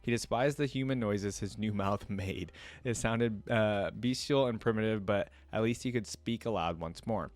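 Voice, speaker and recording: A man's voice: lowish voice, moderate pace. A man hosting a reaction video, reading lore aloud and musing over it in casual commentary. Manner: musing, thinking out loud.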